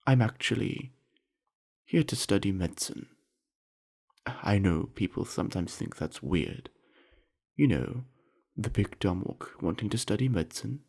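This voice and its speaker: Friendly orc student athlete, male voice actor, ASMR roleplay: husky, creaky, slow, sincere and chatty. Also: lowish voice, animated, a little mellow, full character voice. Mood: earnest. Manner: easygoing, chatty, earnest, with musing pauses. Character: friendly, earnest, sweaty young male orc university jock. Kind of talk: scripted ASMR roleplay addressed to the listener.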